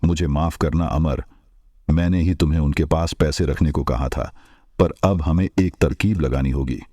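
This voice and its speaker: A man playing a kind, shrewd older village merchant in a cartoon story, speaking in a measured, advising way. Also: rumbling low, fairly even intonation, dark tone, no echo, studio quality. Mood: concerned. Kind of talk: Hindi cartoon moral-story voice acting.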